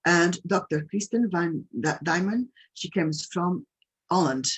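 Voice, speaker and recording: A woman from Portugal with a Portuguese accent, hosting a webinar as a patient advocate and speaking in halting English with many fillers and restarts. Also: lowish voice, husky. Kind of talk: webinar presentation.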